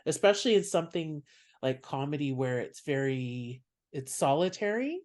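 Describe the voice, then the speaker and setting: A man from Canada with a soft voice, a stand-up comedian speaking as a podcast guest in reflective, unhurried talk. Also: fairly high pitch, sing-song. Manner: reflective, explains at length. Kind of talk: casual interview chat.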